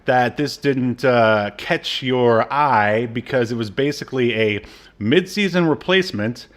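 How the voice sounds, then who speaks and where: A man's voice: mid-range voice, unhurried. Rambling podcast co-host man. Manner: rambling, many fillers and restarts. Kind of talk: casual chat.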